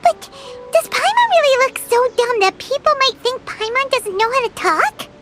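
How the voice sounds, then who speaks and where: A woman voicing a tiny floating female companion who is excitable, chatty and quick to take offense. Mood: indignant. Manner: bouncy, indignant, very high squeaky delivery. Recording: game audio over loud music.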